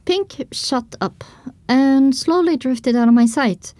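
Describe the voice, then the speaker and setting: High-voiced woman narrating a story. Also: slow, dark tone, dry recording. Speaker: audiobook narrator. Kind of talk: scripted first-person story narration.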